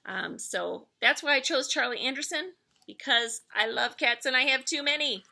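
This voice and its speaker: A middle-aged woman reading a children's story aloud in an expressive, deliberate storytelling manner. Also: high voice, vocal fry. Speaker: elementary school staff member reading aloud to pupils.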